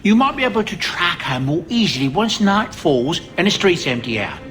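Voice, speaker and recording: An actor playing a courteous, caring male servant in a fantasy game, polite and gentle. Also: high-pitched, big pitch swings, normal speed. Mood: polite concern. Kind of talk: dramatic game acting. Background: game music.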